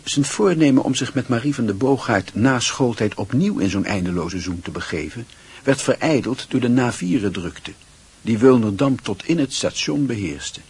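A man's voice: medium pitch, expressive, normal speed, darkish tone, husky. A man giving scripted literary narration for a Dutch radio play.

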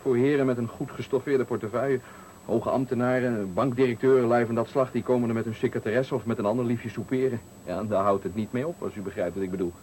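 An actor playing a sly, prying stranger in a radio thriller, speaking in a measured, insinuating way. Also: male voice, mid-range voice, fairly even intonation, darkish tone, natural voice. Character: prying, sly stranger at a night bus stop. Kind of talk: 1980 Dutch radio thriller acting. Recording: noisy 1980 radio play recording.

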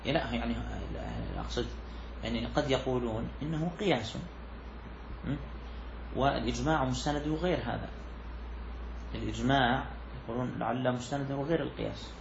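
A man's voice: medium pitch, fairly quick, vocal fry. Guy teaching a fiqh text to students, keeps repeating himself and asking the room, dull muffled audio with a bit of hiss. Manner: repeats key phrases, poses questions to students. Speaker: sheikh teaching Islamic jurisprudence. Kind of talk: classroom lecture explaining a text.

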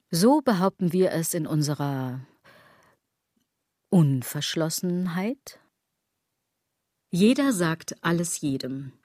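Low-voiced, brooding, self-critical woman. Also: darkish tone, no echo, studio quality. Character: self-critical woman brooding obsessively over clothes, body and gods. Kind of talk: literary radio drama monologue.

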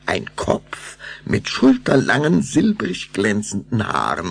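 Very deep, creaky male storyteller. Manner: expressive storytelling. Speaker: narrator. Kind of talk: scripted narration of a children's radio play.

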